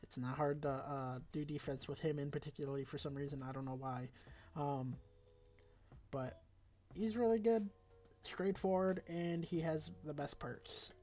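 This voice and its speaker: A man with a stuffed-up, slightly raspy voice pitched a touch high runs through a game tier list in loose, rambling commentary. He keeps correcting himself mid-sentence, and faint music plays underneath. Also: normal speed, darkish tone. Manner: loose and rambling, with frequent fillers and self-corrections. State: congested. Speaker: gaming video creator.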